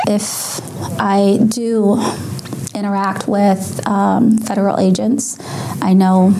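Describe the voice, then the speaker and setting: A woman answering in a news interview, speaking with hesitant pauses as she forms her thoughts. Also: medium pitch, level tone, creaky. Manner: hesitant, pausing mid-thought. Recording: faint ambient noise.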